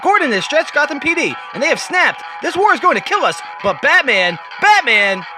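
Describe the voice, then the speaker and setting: A man's voice: very high-pitched, big pitch swings, moderate pace, music in the background, pitched above the performer's own voice. A man voicing an alarmed television news speaker, urgent and dramatic. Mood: panicked. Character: panicked television news voices.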